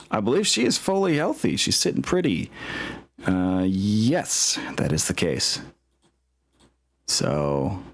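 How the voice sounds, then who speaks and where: A low-pitched man runs a tabletop game session as its game master. He narrates casually and often halts to think out loud. Music plays clearly behind him. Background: background music.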